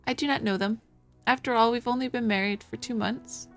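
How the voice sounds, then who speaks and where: A woman's voice: on the high side, steady tone, fairly quick, fairly bright tone, faint music behind. A woman voicing an anxious, coy young newlywed noblewoman in a visual novel, in a theatrical manner with a wandering put-on accent. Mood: worried, guarded.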